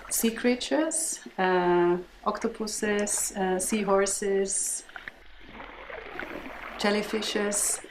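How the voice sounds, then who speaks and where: A visual artist woman from northern Finland, talking thoughtfully in an interview, pausing often with fillers. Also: medium pitch, roomy sound, a little hiss or hum, rough recording. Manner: thoughtful, hesitant with many fillers. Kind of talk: documentary interview.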